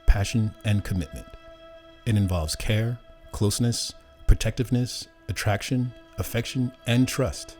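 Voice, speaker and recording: Low-voiced male documentary narrator. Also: a little mellow. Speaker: narrator and interviewer.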